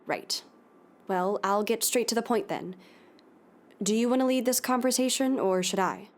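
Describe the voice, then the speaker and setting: A woman playing a determined investigative journalist in an audio drama, speaking in a deliberate, earnest way. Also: fairly high pitch, lightly acted. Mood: earnest, tentative. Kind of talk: audio drama, scripted investigative podcast narration.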